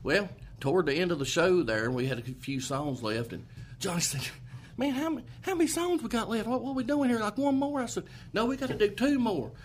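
A man's voice: high voice, fairly quick, a little hiss or hum. A man spins a rowdy story for friends on a small podcast. His voice swoops up and down as he jokes. He laughs freely between lines. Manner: animated, laughing, lively storyteller. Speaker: band member guest. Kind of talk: casual joking storytelling.

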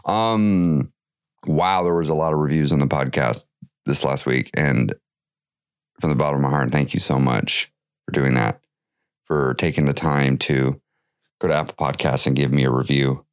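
A man's voice: rumbling low, fairly even intonation, moderate pace, clear, resonant tone, no room sound, clean studio sound. A man hosting his own podcast while fighting a cold, talking in an earnest, friendly, chatty way with lots of fillers. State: has a cold, slightly stuffy. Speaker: podcast host and weight loss coach. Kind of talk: solo podcast monologue.

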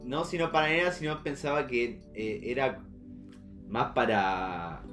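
A young man with an Argentine accent chatting casually as a podcast co-host, haltingly, often repeating words for emphasis. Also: mid-range voice, fairly bright tone, soft background music. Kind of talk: casual nostalgic chat.

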